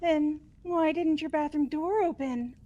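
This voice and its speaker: A woman playing an excitable high school student in a fan-voiced game. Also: unhurried, lo-fi sound. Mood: puzzled. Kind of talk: fan voice acting of a video game script.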